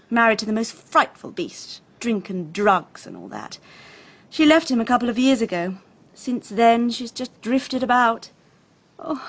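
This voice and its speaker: A woman's voice: fairly high pitch, slightly rough, exaggerated voice. A woman acting in a radio drama as a breezy, flippant young society lady with an upper-class English accent, airy and drawling. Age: young adult.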